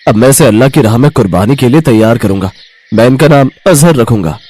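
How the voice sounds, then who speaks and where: A man's voice: medium pitch, expressive, quick pace, a little mellow, lightly acted. A man playing a poor, middle-aged tailor father in a children's story, earnest and solemn in his devotion. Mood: reverent. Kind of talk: children's animated moral story acting.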